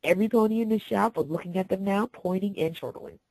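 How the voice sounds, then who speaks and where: A woman's voice: medium pitch, fast talker, gravelly, warm tone, no room sound, poor audio. A woman reading scripted story narration aloud as the narrator.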